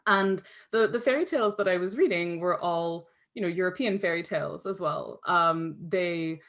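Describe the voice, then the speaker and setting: A woman's voice: lowish voice, normal speed, fairly bright tone. A woman with a Canadian accent, a guest author answering interview questions in a rambling, self-interrupting way, tired from too little sleep. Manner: rambling, self-interrupting, many fillers and restarts.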